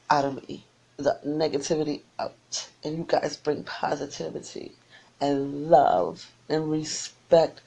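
A tired woman who is losing her voice, rambling through friendly shout-outs to listeners on her small internet radio station. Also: deep voice, rough recording. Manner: rambling and warm, repeats her sign-off.

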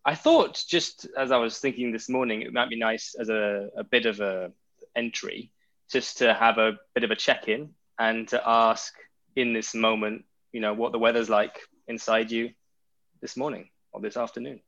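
A man's voice: medium pitch, steady tone, normal speed, fairly bright tone, dull audio. A man hosting a podcast interview in a thoughtful, conversational way, choosing his words tentatively.

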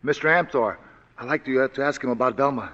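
An actor playing a big, blunt, menacing male thug with gruff ungrammatical talk in a 1940s radio drama, on a dull old broadcast recording. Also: medium pitch, fast, bright, forceful tone, long reverb tail, natural voice.

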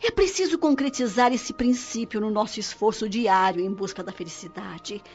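Tender wise mother spirit woman acting, Brazilian accent, husky, didactic and devout, radio soap, music behind, dull lo-fi sound. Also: medium pitch, moderate pace, a little mellow, natural voice. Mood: tender, instructive. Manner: tender, didactic, solemn, preacherly. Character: loving, wise spirit mother counseling her son. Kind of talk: radio soap opera acting, instructive monologue.

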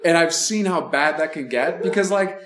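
A man's voice: on the high side, normal speed. Man chatting in an echoey room. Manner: relaxed, lots of "like" fillers. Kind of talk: casual conversation.

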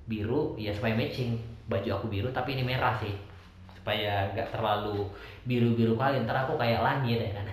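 A young man from Medan with a softened Medan accent, hosting a solo podcast monologue in a rambling way with many fillers. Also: on the low side, slight background noise. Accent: Medan Indonesian, softened. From Medan, North Sumatra. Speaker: podcast host. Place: indoors at home, late night. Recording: strongly echoey room.

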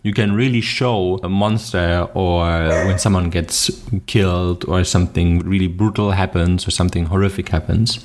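A man's voice: low-pitched, steady tone, moderate pace, hardly any pauses. A man with a German accent, co-hosting a podcast and chatting casually. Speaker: podcast co-host. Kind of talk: casual chat, reading posts aloud.

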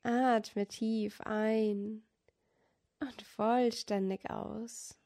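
Very slow-speaking woman guiding meditation. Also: on the high side. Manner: soft, calm, soothing, with long pauses. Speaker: yoga and meditation teacher, podcast host. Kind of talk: guided yoga nidra meditation.

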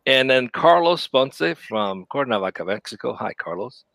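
A man's voice: mid-range voice, big pitch swings, normal speed, vocal fry, fairly bright tone, dry recording. A man hosting a live web show over a video call, chatty and upbeat, laughing easily between remarks. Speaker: show host.

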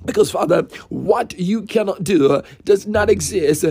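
A man's voice: high voice, unhurried, gravelly, a little mellow, soft background music. A man leading a fervent spoken prayer, pleading and repeating phrases, at times half-singing and speaking in tongues. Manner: impassioned, repetitive, half-sung intercession with tongues. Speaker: prayer leader.

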